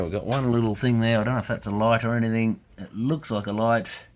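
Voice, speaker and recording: Male, Australian accent, lowish voice, understated off-the-cuff workshop commentary. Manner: unscripted, musing, understated. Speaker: electronics repairer presenting his channel. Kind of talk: casual hands-on commentary.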